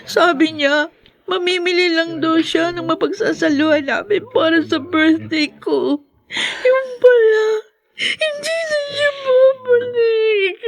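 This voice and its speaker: A very high-voiced young Filipino daughter in a radio drama. She is meek and grieving, and speaks in a tearful, pleading way. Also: slow, full character voice.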